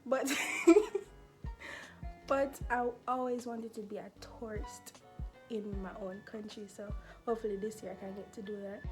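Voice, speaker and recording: A woman's voice: mid-range voice, fairly even intonation, a little slow, over music, poor audio. A nineteen-year-old woman from Jamaica with a Jamaican accent, chatting casually in a Q&A vlog, laughing often and filling with "you know" and "like". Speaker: vlogger.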